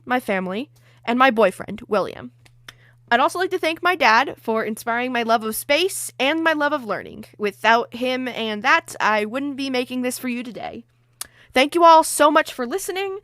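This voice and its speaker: A woman's voice: on the high side, a bit bright, no room sound, studio quality. A young adult woman, the podcast's creator, earnestly reading closing credits and thanks. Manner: earnest, grateful, read from notes.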